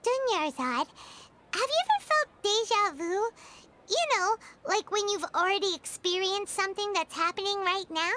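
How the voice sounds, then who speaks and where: Very high squeaky childlike fairy companion. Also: female voice, lively intonation, moderate pace, sharp tone, slight background noise, heavily acted. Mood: curious, concerned. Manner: speaks of self in third person. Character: small childlike female fairy companion, earnest and excitable. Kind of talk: video game character dialogue. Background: background music.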